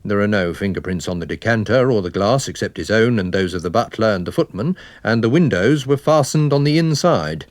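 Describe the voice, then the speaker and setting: A man performs a methodical police inspector in a radio detective story. The voice is fairly low and moves expressively while staying businesslike and explanatory. It is recorded very dry. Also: expressive, brisk, few pauses, no echo, close to an everyday voice. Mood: matter-of-fact. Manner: matter-of-fact, explanatory.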